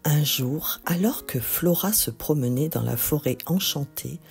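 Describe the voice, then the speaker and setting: A woman reading a scripted children's bedtime story in an expressive, deliberate narrating style. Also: low-pitched, gravelly, faint music behind.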